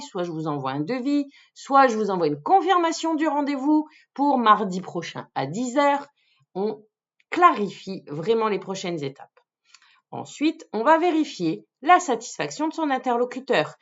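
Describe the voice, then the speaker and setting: A woman presents as a sales coach, with pitch that moves up and down in a lively way. She explains things step by step in a didactic, instructional style. The recording is a little dull and lo-fi. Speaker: phone sales coach presenting a tips video. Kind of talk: instructional talk to viewers.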